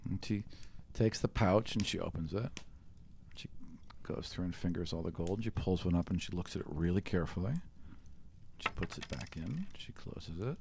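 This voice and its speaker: A man's voice: low voice, moderate pace, vocal fry, darkish tone, slight background noise. A man narrating an improvised tabletop game as game master, in an easygoing, agreeable way.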